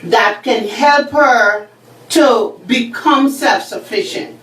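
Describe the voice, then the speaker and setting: A woman's voice: a little high, slow, fairly bright tone, reverberant, distant mic. A woman hosting a talk show, asking probing questions. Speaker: host.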